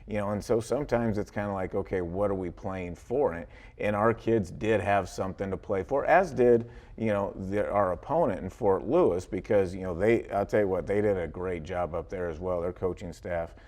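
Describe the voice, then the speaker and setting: An American man with a deep, low-pitched voice speaks as a football coach in an interview. His delivery is conversational and folksy, and he often circles back to repeat a phrase. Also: normal speed, hardly any pauses, a little mellow.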